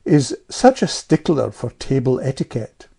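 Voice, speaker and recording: A woman's voice: mid-range voice, level tone, normal speed, warm tone, voice pushed up, close to an everyday voice. A woman voicing a boastful, touchy, genteel mother with a drawling, over-emphatic manner in a storybook. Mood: superior.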